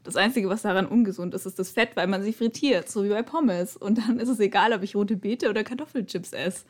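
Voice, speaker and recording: A woman's voice: mid-range voice, fairly quick. A woman chatting casually as a podcast guest, blunt and argumentative in the way she talks.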